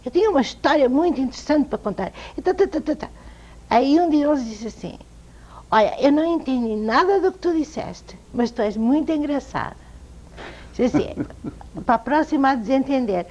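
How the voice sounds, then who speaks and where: A woman with a European Portuguese accent, an author telling lively, self-amused stories as a guest in an interview. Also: high-pitched, moderate pace. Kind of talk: anecdotal interview storytelling. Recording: dull lo-fi TV sound.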